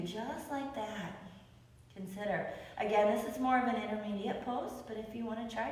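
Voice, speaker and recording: A woman with a raspy voice, tired after many classes, guiding a beginner yoga class with upbeat, encouraging cues. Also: fairly high pitch. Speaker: yoga instructor. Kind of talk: guided yoga class instruction. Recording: echoey room with long reverb, voice from far off the mic.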